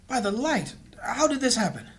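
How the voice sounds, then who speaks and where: Man acting a devout fantasy priest, very high male voice, earnest, anxious, audio drama. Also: fairly bright tone, full character voice. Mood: dismayed.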